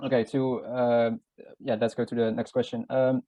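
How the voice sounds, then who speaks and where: A man conducting a casual job interview, relaxed and informal, with frequent fillers. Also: mid-range voice, normal speed, no room sound. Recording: dry, dull lo-fi audio.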